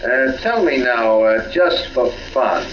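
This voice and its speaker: A man's voice: mid-range voice, expressive, takes it slowly, a bit bright, lo-fi sound. A man playing a sly police captain who questions in a folksy, needling, falsely casual way, in 1940s radio mystery style. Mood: pressing, insinuating. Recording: echoey, hissy old radio recording.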